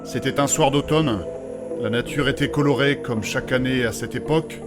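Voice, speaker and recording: A man's voice: mid-range voice, normal speed, over music, natural voice. A man playing a courteous, solemn nobleman with old-fashioned formal diction in a fantasy audio drama. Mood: wistful. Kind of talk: French fantasy comedy audio drama acting.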